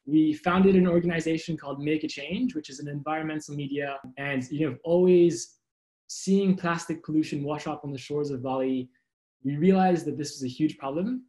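A man's voice: fairly high pitch, mellow, low-quality recording. A man in his twenties from Indonesia, fluently introducing himself as a panelist on a video call. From grew up in Indonesia. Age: 20s. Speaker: panelist, environmental activist. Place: light room at home, indoors.

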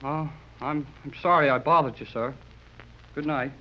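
A man's voice: medium pitch, normal speed, highs cut off, background noise, close to an everyday voice. An actor playing an eager, earnest, polite teenage boy in an old 1930s radio drama. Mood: disappointed. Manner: earnest, boyish, folksy exclamations.

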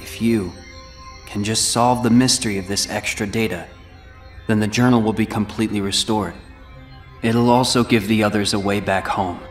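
Man acting a low-voiced, brooding teenage boy warrior. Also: steady tone, normal speed, soft background music, lightly acted. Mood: grave, urgent. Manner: grave, restrained. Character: brooding, self-sacrificing teenage boy warrior, guarded and earnest. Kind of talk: video game cutscene acting.

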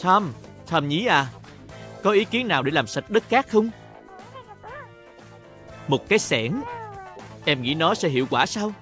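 High-voiced man narrating a kids' cartoon. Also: expressive, unhurried, pauses often, a bit bright. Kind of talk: scripted narration for a children's cartoon, talking to the characters. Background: music.

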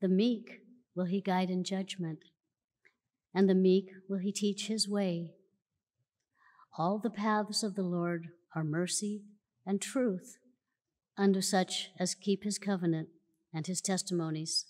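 A woman reading scripture aloud at a church lectern in a measured, solemn way, recorded in a large church auditorium. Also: medium pitch, fairly even intonation, a little mellow. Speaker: church reader. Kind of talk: slow formal scripture reading. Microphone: lectern mic from a far camera. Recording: long church hall reverb.

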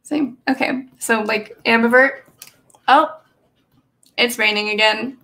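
A woman's voice: on the high side, slow, sharp tone. A young woman chatting casually on a livestream from a small bedroom, rambling with lots of fillers and nervous laughs, picked up by a desk webcam. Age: young adult. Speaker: livestream host. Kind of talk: casual chat with live chat readers. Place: small bedroom with bookshelf, indoors.

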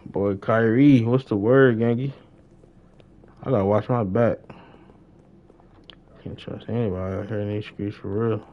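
A man's voice: lowish voice, steady tone, unhurried, pauses often, highs cut off. A young man chatting casually on a gaming livestream in slangy talk, often repeating his phrases. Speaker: live streamer.

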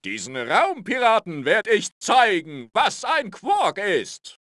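An actor playing a vain, bragging cartoon space superhero in a video game, pompous and theatrical, with bumbling bravado. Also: male voice, high voice, wildly swooping pitch, takes it slowly, fairly bright tone, no echo, studio quality, heavily acted. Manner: pompous, boastful, stammering when caught out.

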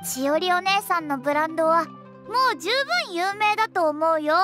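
A woman's voice: very high-pitched, moderate pace, pushed and bright, soft background music. An actress voicing a sweet, earnest young cat-spirit girl with a cute, guileless manner in a Japanese anime-style game. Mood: earnest, admiring.

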